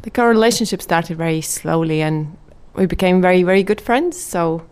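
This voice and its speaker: A woman from Latvia with a Latvian accent, calmly and thoughtfully chatting as an interview guest. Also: fairly low pitch, normal speed, clean tone. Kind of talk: casual reflective chat.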